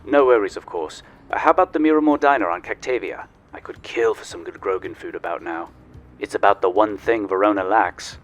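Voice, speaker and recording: A man's voice: on the low side, fairly quick, natural voice. A man playing a genial, wry researcher in a sci-fi audio drama, speaking politely and a little formally, with playful touches. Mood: obliging, playful. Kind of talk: audio drama acting, conversational.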